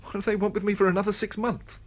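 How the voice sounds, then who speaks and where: A man's voice: sits high, flat delivery, fast talker, natural voice. An actor playing a disgruntled, impulsive British male writer in a naturalistic radio drama, insistent and full of questions. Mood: exasperated.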